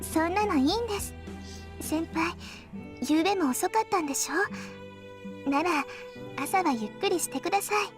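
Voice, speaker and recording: A sweet polite anime schoolgirl, super high gentle voice, visual novel, faint music behind. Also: quick pace, pauses often. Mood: cheerful, caring. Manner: polite, soft-spoken. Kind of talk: Japanese visual novel voice acting.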